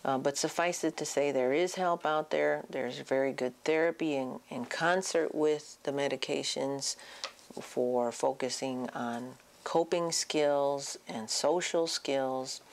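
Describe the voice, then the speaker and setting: Very low, gravelly-voiced woman giving medical talk. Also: slow pace. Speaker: psychiatrist presenting an educational talk. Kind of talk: informal medical lecture.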